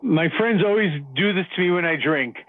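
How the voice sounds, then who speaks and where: Male call recipient, slightly high voice, sheepish and halting, dry narrow phone audio. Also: lively intonation, no echo. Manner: hesitant, sheepish. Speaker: person called on radio show. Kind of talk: casual phone conversation. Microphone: telephone. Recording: tinny, dry phone line.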